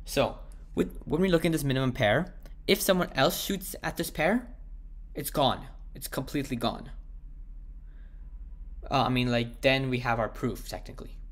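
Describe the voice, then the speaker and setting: A man with a sore, croaky throat, thinking aloud as he works through a math problem and slowing down as he types the proof. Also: medium pitch. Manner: thinks aloud, slows down while typing. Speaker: math tutor. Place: at a computer, screen recording.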